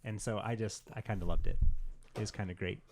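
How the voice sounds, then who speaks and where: A man's voice: lowish voice, steady tone, brisk, soft background music. A man co-hosting a podcast in casual, rambling chat, often dropping "like" into his sentences. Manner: rambling, frequently says "like". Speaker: podcast co-host. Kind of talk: casual chat about games and pop culture.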